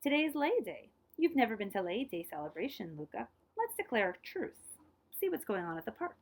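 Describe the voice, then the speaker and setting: A woman's voice: fairly high pitch, sing-song, brisk. A woman voicing a storybook island grandmother who is firm about tradition but kindly, speaking in an instructive way. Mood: conciliatory.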